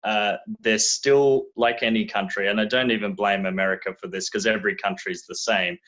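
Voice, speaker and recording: Low-voiced Australian man on a lo-fi line. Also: fairly even intonation, brisk, a bit bright. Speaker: guest. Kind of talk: casual interview talk.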